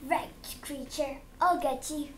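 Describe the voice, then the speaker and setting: Lady doing a wicked storybook stepmother, really high voice, crooning and gloating, noisy room. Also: steady tone, slow pace, a bit bright. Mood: menacing cursing. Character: cruel, scheming stepmother, feigning sweetness, gloating. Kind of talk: storybook read-aloud for children.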